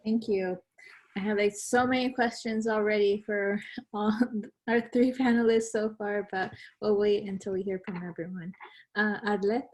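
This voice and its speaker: Woman moderator, friendly, brisk, mid pitch. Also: steady tone, a little mellow, low-quality recording. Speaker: panel moderator. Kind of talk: moderating a panel.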